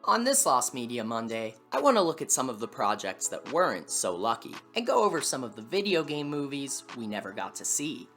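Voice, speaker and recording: A woman's voice: rumbling low, sing-song, fairly quick, few pauses, fairly bright tone, faint music behind. A woman hosting a video series, reading scripted video essay narration with wry asides. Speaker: host of a video series on lost media.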